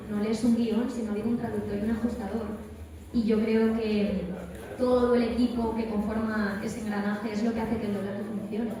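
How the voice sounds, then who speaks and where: A woman with an accent from Spain speaking on a live panel, emphatic and repeating words for stress as she tells anecdotes and gives advice. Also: medium pitch. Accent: Spanish from Spain. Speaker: voice actress, guest speaker. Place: large echoey event hall at a convention. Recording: echoey hall, distant mic, crowd noise. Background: crowd chatter.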